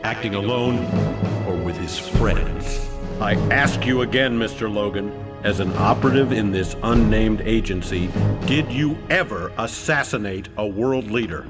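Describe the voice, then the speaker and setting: A low, stern male senator played by an actor speaks with formal deliberation over music. He presses like an accusing interrogator in a video game drama. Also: measured pace, natural voice. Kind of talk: video game cutscene acting. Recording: music behind the voice.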